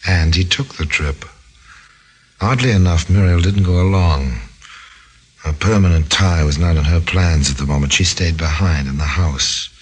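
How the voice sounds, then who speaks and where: An extremely deep, husky storyteller narrates an old-time radio mystery. The delivery is wry, deliberate and ominous. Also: male voice. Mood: wry, sinister.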